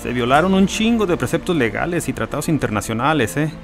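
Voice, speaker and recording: An actor playing a blunt, colloquial lawyer in an audio docudrama. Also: male voice, a little high, lively intonation, fast talker. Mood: excited, blunt. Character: blunt, informal male lawyer discovering legal flaws. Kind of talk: docudrama podcast acting. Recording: loud music behind the voice.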